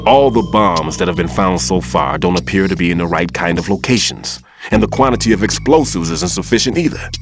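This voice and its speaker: A grave old bomb expert. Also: male voice, low voice, lively intonation, creaky. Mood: uneasy. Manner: measured, grave. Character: seasoned older male bomb expert, wary mentor. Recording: game cutscene with music.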